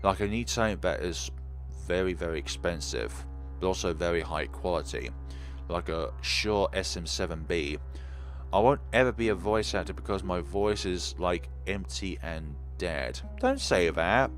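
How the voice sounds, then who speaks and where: A young man streaming a game, chatting in a calm, relaxed, low-key way. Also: moderate pace, soft background music. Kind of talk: casual let's play chat.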